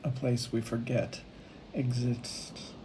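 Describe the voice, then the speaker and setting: A woman leading a guided meditation, speaking in unhurried phrases with long pauses between them. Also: a bass voice, little pitch movement, noisy background. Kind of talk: guided meditation, gentle imagery narration.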